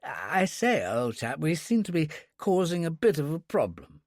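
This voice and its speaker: A man's voice: on the high side, very animated, normal speed, no room sound, studio quality, voice pushed up. A man voicing a genteel, easily flustered male professor with old-fashioned, effusive manners in an audiobook story. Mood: uneasy.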